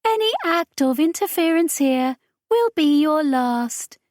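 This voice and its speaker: A woman's voice: high voice, lively intonation, a little slow, a little mellow, no room sound, studio quality, pitched above the performer's own voice. A woman voicing a solemn, reverent alien hive-being majordomo with archaic, ceremonious speech in an audiobook. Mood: stern.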